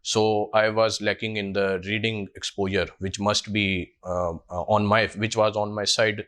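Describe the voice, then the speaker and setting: A man's voice: lowish voice, level tone, measured pace, a bit bright. Hesitant Pakistani man in his twenties. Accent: Pakistani English. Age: 20s. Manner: hesitant, many uh fillers and restarts. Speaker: job candidate. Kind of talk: mock job interview answers.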